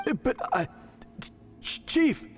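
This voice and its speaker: A man's voice: slow pace, lightly acted. A man voicing an earnest, nervous, deferential priest who stammers anxiously in an anime fantasy game. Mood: startled, stammering. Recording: long hall reverb, faint music.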